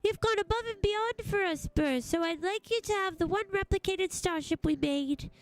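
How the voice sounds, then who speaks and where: A woman's voice: very high-pitched, moderate pace, voice pushed up. A woman voicing a sweet, polite alien traveler in a video game, speaking with earnest formality. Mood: grateful. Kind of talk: video game dialogue read-aloud. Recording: faint music behind.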